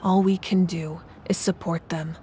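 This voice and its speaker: An actress playing a proud, resolute female ruler in a video game, speaking in a measured, solemn and commanding way. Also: fairly low pitch, fairly even intonation, mellow, slight background noise, lightly acted. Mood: solemn resolve. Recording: music behind the voice.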